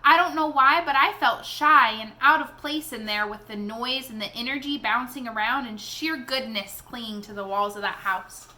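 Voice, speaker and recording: A woman reading a children's novel aloud in an expressive storytelling style. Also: on the high side, normal speed, hardly any pauses.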